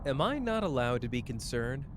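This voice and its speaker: A man's voice: medium pitch, sing-song, moderate pace, background noise, close to an everyday voice. A man playing a cocky, caring young sorcerer in a roleplay ASMR drama, teasing and tender as the listener's partner. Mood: concerned.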